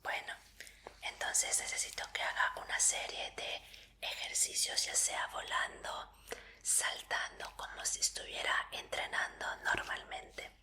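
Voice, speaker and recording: Woman, breathy whisper, extremely crisp bright hiss, ASMR examiner roleplay, formal polite phrasing, reassuring. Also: measured pace.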